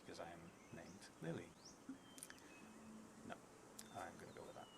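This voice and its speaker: A man in his thirties with a fairly low voice hosts a solo role-playing stream. He is unhurried and thinks aloud, dropping into murmured asides. The recording has clear background noise. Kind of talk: narrating a solo card game on a live stream. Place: indoor room at a theatre, at a table. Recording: noisy room with steady background noise.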